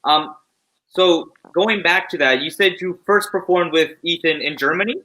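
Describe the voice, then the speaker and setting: Bright-voiced woman host, halting. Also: fairly low pitch, poor audio. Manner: halting, searching for words. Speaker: interviewer host. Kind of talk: casual interview.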